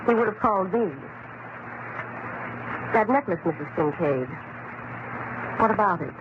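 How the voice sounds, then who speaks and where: A woman's voice: mid-range voice, expressive, measured pace, lightly acted. A woman playing an earnest, uneasy office secretary in an old-time radio drama, speaking in a hesitant, sincere way. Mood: uneasy, suspicious. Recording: muffled, noisy vintage radio recording.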